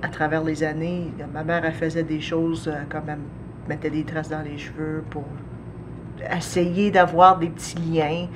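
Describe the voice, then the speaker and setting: Low-voiced Canadian French woman. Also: little pitch movement, noisy background. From Ontario, Canada. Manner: thoughtful, many pauses and fillers. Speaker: artist interviewed. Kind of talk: documentary interview. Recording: music bed under voice.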